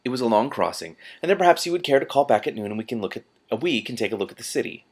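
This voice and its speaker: A man's voice: medium pitch, very animated, racing. A man reading aloud as a mild, trusting male tourist, courteous and formal. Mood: polite.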